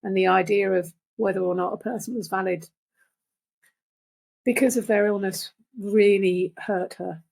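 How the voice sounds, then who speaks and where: A middle-aged or older woman speaks in relaxed conversation as a guest reader. Her voice is raspy and creaky at an average pitch, and she thinks aloud in halting, reflective phrases. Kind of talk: casual conversational discussion.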